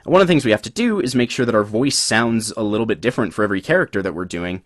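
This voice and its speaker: Podcast co-host, man, low-pitched, chatty and rambling, dry sound. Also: racing, no room sound. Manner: relaxed, rambling, many fillers. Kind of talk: casual chat.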